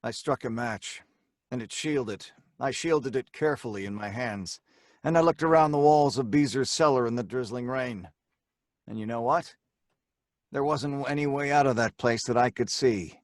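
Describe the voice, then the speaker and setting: A man's voice: medium pitch, moderate pace, no room sound, clean studio sound, lightly acted. An actor playing a wry, stubborn crook in an old-time radio drama, dismissive and telling his story in the first person. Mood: wry, eerie narration. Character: hard-boiled, sardonic male crook who narrates his predicament.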